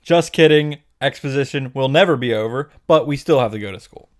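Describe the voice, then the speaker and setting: A man's voice: mid-range voice, brisk. A man giving a comedic, irreverent recap narration as host, sarcastic and sweary, in punchy short sentences. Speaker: host of a visual novel summary series.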